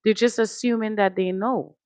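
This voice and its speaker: Woman host, mid pitch, passionate and direct, dead-dry room. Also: fairly even intonation, moderate pace, darkish tone, dry recording. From Trinidad and Tobago. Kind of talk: casual panel discussion.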